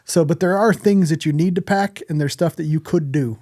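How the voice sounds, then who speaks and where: Mellow, higher-voiced man chatting on podcast. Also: brisk, no room sound, clean studio sound. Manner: blunt, plain-spoken, swears casually. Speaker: podcast co-host. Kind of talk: casual podcast chat.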